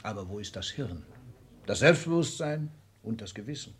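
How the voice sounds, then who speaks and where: An actor playing a grave political thinker in a German radio drama, husky and weightily rhetorical. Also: male voice, on the low side, animated, normal speed. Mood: grave, pondering. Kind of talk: 1960s German radio play acting.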